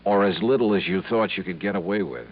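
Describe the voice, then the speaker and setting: An actor playing a wry, dogged insurance investigator who also narrates, in 1950s radio drama style. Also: male voice, sits low, fast, close to an everyday voice. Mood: probing. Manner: dry, needling questions. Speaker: narrator and lead. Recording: dull, hissy old radio broadcast.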